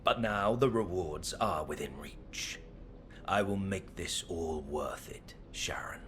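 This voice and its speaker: An actor playing a grave, calculating male schemer in a video game, speaking in a solemn, measured, deliberate way. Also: deep voice, noisy background. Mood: solemn, intense. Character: grave, calculating male schemer staking his life on revenge. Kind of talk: dramatic video game dialogue.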